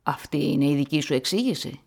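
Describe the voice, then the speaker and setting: An actress playing a probing middle-aged woman in radio play dialogue, with a husky, creaky voice. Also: sits low, expressive, a little mellow, full character voice. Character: probing middle-aged woman, engaged to be married, fishing for information.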